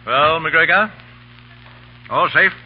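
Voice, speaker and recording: An actor playing a stern, commanding army colonel with clipped military speech in a 1930s radio drama. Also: male voice, fairly high pitch, slow pace, slightly rough. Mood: businesslike. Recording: dull, hissy 1930s radio recording.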